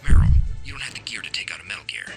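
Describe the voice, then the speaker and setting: An actor playing a gruff, guilt-ridden, hot-tempered veteran soldier in a radio drama, with a gravelly, intense voice. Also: male voice, fairly low pitch, wildly swooping pitch, very fast, faint music behind, exaggerated voice. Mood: angry.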